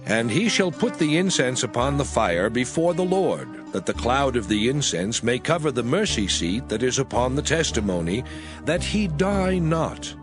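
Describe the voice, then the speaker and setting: Expressive male scripture narrator over music. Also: medium pitch. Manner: measured, formal.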